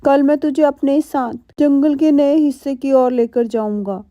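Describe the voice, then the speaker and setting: A woman's voice: sits high, steady tone, measured pace, warm tone, no room sound. A woman playing a scheming, selfish, sweet-talking husband crow in a cartoon, wheedling and dramatic, on a muffled recording. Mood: falsely sweet.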